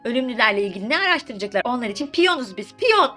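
A woman's voice: fairly high pitch, big pitch swings, very fast, pushed and bright. Gossipy, theatrical woman storyteller over music. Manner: gossipy, chatty, theatrical, exclaiming asides and rhetorical questions. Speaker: storyteller host of a comedic mythology series. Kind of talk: comedic casual storytelling, retelling myths in slang.

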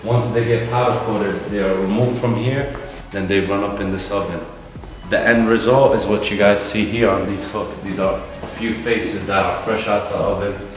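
A man's voice: on the low side, fairly even intonation, moderate pace. A man in his thirties hosting a casual, hyped walkthrough tour of a factory, speaking in laid-back street slang. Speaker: vlog host touring a wheel factory. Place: large indoor factory floor. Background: background music.

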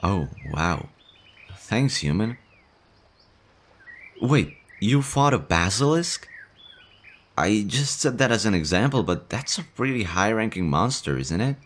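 A man voicing a timid young male slime monster in an audio roleplay, nervous and stammering, quick to worry. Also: on the low side, sing-song, a bit bright, full character voice. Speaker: voice actor.

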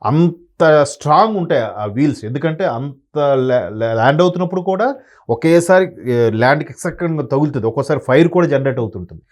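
A man's voice: fairly high pitch, expressive, brisk, a little mellow. A man speaking as an analyst guest, talking in a rambling, sombre way with many restarts. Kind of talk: conversational commentary.

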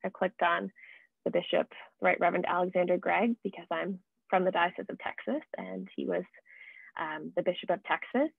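Young American woman, presenter on a video call, creaky voice, dull narrow audio, informal and explanatory. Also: on the low side, steady tone, moderate pace, dry recording. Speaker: project presenter. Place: indoors at home, on video call. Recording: muffled, band-limited video call audio.

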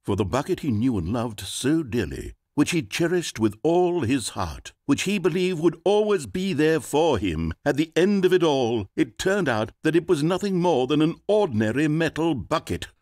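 A wry theatrical game narrator. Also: male voice. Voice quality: crisp measured delivery, very animated pitch. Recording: dry close studio voice.

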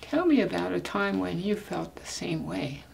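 An older woman reading a picture book aloud to children in a deliberate, expressive way, pausing to ask them questions. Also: fairly low pitch, vocal fry, slight background noise, low-quality recording. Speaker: storybook reader. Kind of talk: children's read-aloud, asking listeners questions. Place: small indoor room with bookshelf.

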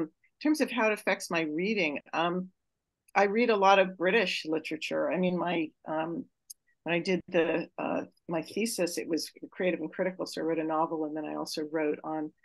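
A woman speaks over a video call, searching for words and filling gaps with soft hesitations. Her voice has a gravelly fry and sits close to the mic in a dry space. The sound is plain webcam audio. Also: low-pitched, no echo, low-quality recording. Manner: thoughtful, halting with many fillers. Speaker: novelist panelist. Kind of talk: casual panel discussion.

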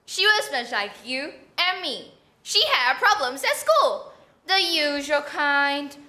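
A woman's voice: sits high, big pitch swings, slow pace, pushed and bright. A teenage girl giving theatrical scripted narration on a school stage.

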